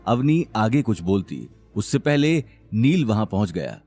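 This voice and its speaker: A man narrating a scripted audio drama in a dramatic, expressive storytelling manner. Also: fairly low pitch, unhurried, breathy edge, no room sound, faint music behind.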